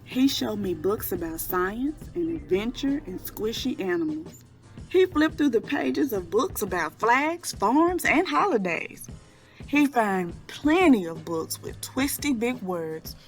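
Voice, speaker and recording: Woman reading a children's story over soft music. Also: a little high. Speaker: children's picture book reader. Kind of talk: read-aloud storytelling for children.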